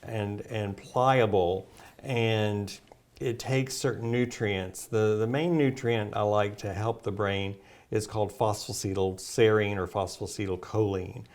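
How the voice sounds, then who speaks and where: A man's voice: fairly low pitch, fairly even intonation, unhurried, a little mellow. A man speaking as a naturopath guest, explaining at length with many fillers and restarts. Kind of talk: casual health talk.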